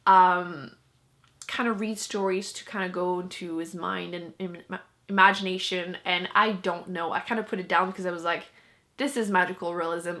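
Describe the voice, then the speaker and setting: Bright chatty woman, French native speaker. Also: mid-range voice. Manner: chatty, laughs often, self-mocking. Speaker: book vlogger. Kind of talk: casual chat to camera.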